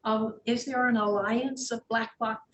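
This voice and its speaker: Even, higher-voiced woman in echoey room. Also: a little slow. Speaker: audience member asking a question. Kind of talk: informal spoken question. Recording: echoey room, faint rumbling noise.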